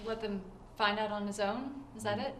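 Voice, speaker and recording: A woman playing a sharp-tongued, skeptical character in a comedy-drama web series, pointed and probing. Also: mid-range voice, fairly even intonation, fairly quick, close to an everyday voice. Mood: skeptical, needling. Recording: roomy echo, clear background noise.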